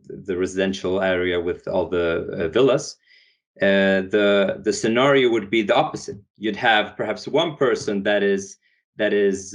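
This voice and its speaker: Swedish-raised young guy, podcast guest, lowish voice, stop-start phrasing. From Sweden. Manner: hesitant, many restarts and fillers. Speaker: guest, founder. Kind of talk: podcast interview.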